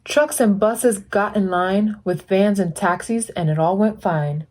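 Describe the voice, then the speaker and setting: A woman reading a picture book aloud as a volunteer storyteller, animated and putting deliberate emphasis on sound words. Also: mid-range voice. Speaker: volunteer children's book reader.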